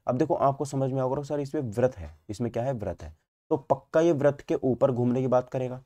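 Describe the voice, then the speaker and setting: A man's voice: medium pitch, a little fast, mellow. A man with a North Indian accent giving an explanatory physics lecture as a teacher, repeating points and answering his own questions. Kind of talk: explanatory exam-prep lecture.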